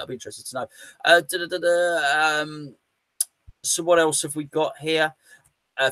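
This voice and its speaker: A man's voice: mid-range voice, bright, forceful tone, no room sound. A man hosting a casual football fan show, reading out listener comments and humming fillers between them. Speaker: fan podcast host.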